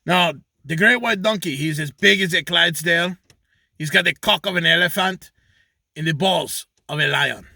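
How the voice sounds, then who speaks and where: A man's voice: a little high, normal speed, vocal fry, pushed and bright, dry recording, studio quality, full character voice. A man voicing a crude, boastful male donkey handler, rambling about himself in the third person in a comic monologue. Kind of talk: comedic character monologue.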